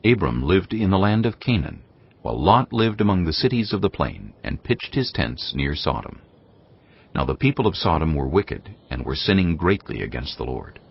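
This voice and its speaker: A man narrating scripture in a measured, grave storytelling manner. The audio is dull and lo-fi. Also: low-pitched, very animated, creaky, husky. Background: music.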